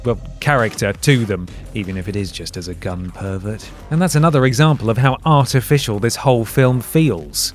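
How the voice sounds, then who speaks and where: A man narrating a scripted video game review with a dry, sardonic delivery. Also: on the high side. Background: faint background music.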